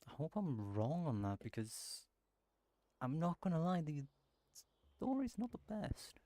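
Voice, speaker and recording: A man's voice: a little high, sing-song, unhurried, mellow, dry recording. A man giving casual let's-play commentary, rambling and thinking aloud as he plays. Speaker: gaming streamer.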